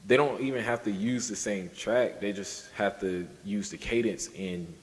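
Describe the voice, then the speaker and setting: Low-voiced man in a reverberant space. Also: normal speed. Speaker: podcast co-host. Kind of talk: casual discussion.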